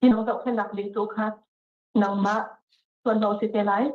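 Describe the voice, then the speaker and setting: A woman's voice: medium pitch, flat delivery, moderate pace. Woman interpreter on a tinny echoey phone line. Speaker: court interpreter. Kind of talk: interpreting court orders. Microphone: phone call.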